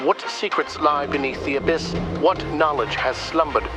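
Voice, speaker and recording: A man's voice: a little high, moderate pace, over loud music, natural voice. A man playing a portentous, promotional announcer in a dramatic radio advertisement for an epic expedition. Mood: grand, enticing. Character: grandiose announcer pitching an epic deep-sea expedition broadcast.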